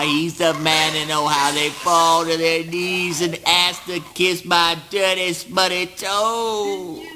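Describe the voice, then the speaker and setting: A man's voice: high-pitched, sharp tone, full character voice. A man playing a strange, grandiose misfit in a theatrical spoken word play, with obsessive, repetitive, stammering delivery. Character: Eugene, strange grandiose male misfit, menacing and childish. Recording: noisy early-nineties amateur audio play.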